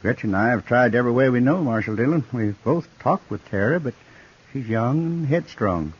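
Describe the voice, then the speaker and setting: A man's voice: mid-range voice, expressive, a little fast, breathy edge. An actor playing a worried, earnest townsman in a 1950s radio western, with an affable manner. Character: worried, well-to-do frontier townsman and guardian. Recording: dull 1950s radio recording.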